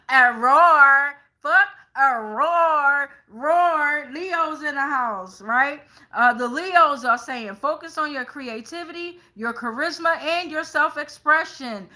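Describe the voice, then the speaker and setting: High, bright American woman reading tarot. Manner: sassy, freely swearing, emphatic. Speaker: tarot reader hosting a live stream. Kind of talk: casual live tarot reading.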